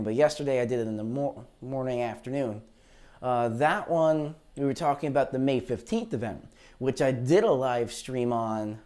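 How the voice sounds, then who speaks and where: Hoarse-voiced man, rambling weather chat. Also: mid-range voice, darkish tone. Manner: chatty, rambling, unsure asides. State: tired, low voice after heavy talking. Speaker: meteorologist hosting a live weather stream. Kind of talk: casual live-stream weather talk. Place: green screen studio, indoors. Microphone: lavalier clip mic.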